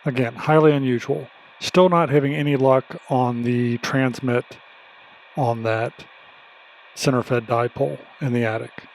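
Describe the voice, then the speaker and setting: A man's voice: mid-range voice, steady tone, unhurried, slight background noise, poor audio. A man hosting a casual hands-on demonstration, talking in a plainspoken, folksy way. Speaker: video host demonstrating radio gear.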